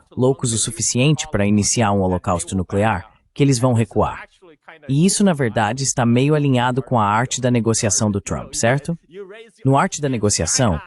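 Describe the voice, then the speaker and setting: A man's voice: on the low side, darkish tone. A man giving translated voice-over political commentary, opinionated and fond of rhetorical questions.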